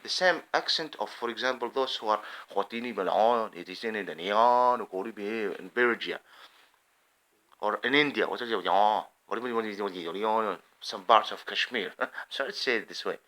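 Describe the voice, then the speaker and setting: A man's voice: lowish voice, unhurried, pushed and bright. A man giving an impassioned, rambling unscripted monologue to camera. He often restarts his phrases. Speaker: video commentator.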